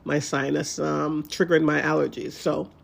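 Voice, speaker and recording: A blogger with a stuffy, congested nose and a nasal sound, reading a post aloud and then explaining it in halting, repetitive speech. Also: mid-range voice, little pitch movement, creaky. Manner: halting and repetitive when speaking off the page.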